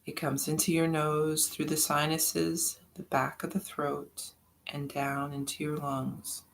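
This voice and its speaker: A meditation guide giving soft-spoken, drawn-out breathing instructions with long pauses between phrases. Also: medium pitch, completely flat delivery, vocal fry, slight background noise.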